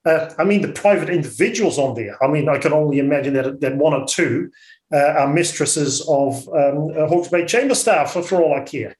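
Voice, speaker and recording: A man with a Dutch accent speaking as an interview guest, blunt and outspoken, often halting and repeating words. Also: on the high side, darkish tone, roomy sound. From Netherlands. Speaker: interview guest, orchard business owner. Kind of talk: radio interview.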